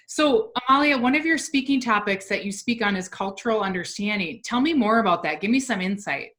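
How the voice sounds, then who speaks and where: Woman host, bright forward tone, upbeat and quick, warmly agreeable. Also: mid-range voice, animated. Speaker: podcast host. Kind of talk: interview conversation.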